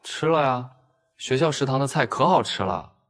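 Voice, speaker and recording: A man playing a seemingly innocent, shrewd young university student in an animated drama. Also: mid-range voice, normal speed. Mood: earnest. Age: young adult. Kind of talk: audio drama acting for an animated story.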